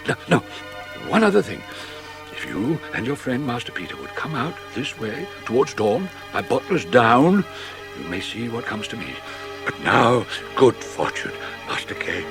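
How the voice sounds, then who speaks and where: A man's voice: mid-range voice, huge pitch swings, unhurried, creaky, heavily acted. A man playing a mysterious, urgent old Punch and Judy showman and magician in a dramatised audiobook, talking in an old-fashioned, rambling way. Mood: hurried, foreboding. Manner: old-fashioned, rambling storytelling with chuckles and repetitions. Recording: music behind the voice.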